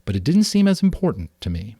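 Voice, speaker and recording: Man acting a storybook mom, caring, earnest, patient advice, mellow, dry sound. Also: mid-range voice, sing-song, fast, husky, dry recording, voice pushed up. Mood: thoughtful. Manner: patient, explanatory, gently advising.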